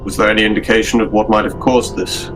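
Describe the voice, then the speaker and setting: A man playing a courteous, formal gentleman investigator in a tabletop game. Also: fairly low pitch, fairly even intonation, quick pace, music in the background, lightly acted, low-quality recording. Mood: polite, inquiring. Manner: formal, polite.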